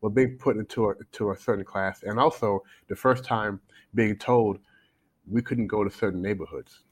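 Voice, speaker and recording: A man in his forties with a North American accent speaks as a guest on a podcast. His voice sits fairly low, and he tells his story in a conversational way. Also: steady tone, normal speed, slightly rough. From Canada, then United States from age eight. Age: 40s. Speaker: life coach, podcast guest.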